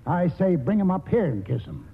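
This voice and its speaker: An actor playing a cantankerous but kind-hearted old country grandfather in a 1940s radio play, gruff and rough-spoken. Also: high voice, expressive, a little fast. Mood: gruffly kind. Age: older. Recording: tinny, noisy old radio broadcast.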